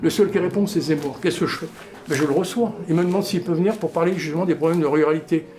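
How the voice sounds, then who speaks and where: An older man with a high, quick voice speaks bluntly and plainly about his village. He talks like a rural mayor in a casual interview, colloquial and direct. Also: darkish tone.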